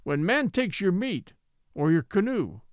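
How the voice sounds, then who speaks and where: A man's voice: high-pitched, sing-song, unhurried, no room sound. A man voicing an old Native man in a story reading, resigned and scornful, speaking simple broken English with rhetorical questions. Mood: solemn. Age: older.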